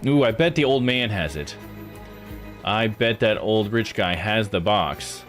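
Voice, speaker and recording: A man's voice: lowish voice, animated, unhurried, a bit bright. A man giving casual commentary as a game streamer, rambling as he thinks aloud. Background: music.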